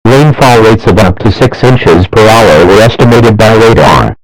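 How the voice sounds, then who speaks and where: A synthetic male voice reading a scripted emergency weather warning in a formal, measured, impersonal manner. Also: fairly low pitch, lively intonation, poor audio. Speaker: weather alert announcer.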